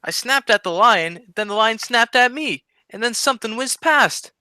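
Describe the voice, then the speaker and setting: A man's voice: very high voice, animated, normal speed, sharp tone, clear, resonant tone, no echo, heavily acted. A man playing a childlike, cowardly, wisecracking comic sidekick in a radio comedy sketch, with silly, naive punning. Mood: nervous.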